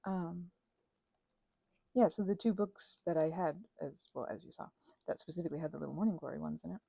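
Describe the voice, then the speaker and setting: A woman's voice: on the low side, a little fast, creaky, dark tone, slightly rough, dry recording, lo-fi sound. A woman chatting casually and hesitantly in a meandering craft ASMR ramble, close to the mic. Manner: hesitant, meandering, self-interrupting. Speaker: host of a craft ASMR channel.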